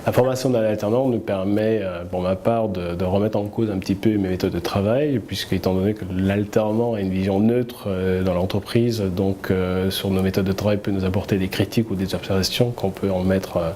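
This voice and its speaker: Brief: male tutor, measured and halting, warm low tone. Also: fairly even intonation, slight background noise. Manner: measured, hesitant with fillers. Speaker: workplace tutor. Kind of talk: corporate video testimonial.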